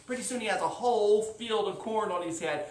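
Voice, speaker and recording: A woman's voice: medium pitch, fairly quick, darkish tone. A woman telling a children's story, asking the listeners questions and using repetition to build suspense. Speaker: storyteller at a library story time. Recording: roomy, distant mic with faint noise.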